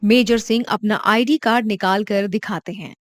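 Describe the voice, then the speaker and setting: A woman narrating a cartoon story in a calm, even storytelling voice. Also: mid-range voice, moderate pace, no echo, clean studio sound.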